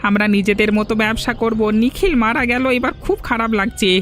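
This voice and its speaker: An actor voices an earnest village shopkeeper in a cartoon. The delivery is rapid and firm at a middling pitch, with music in the background. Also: a bit bright, natural voice. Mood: apologetic, earnest. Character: village shopkeeper, apologetic to friends, firm against bullies. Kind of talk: cartoon folk-tale voice acting.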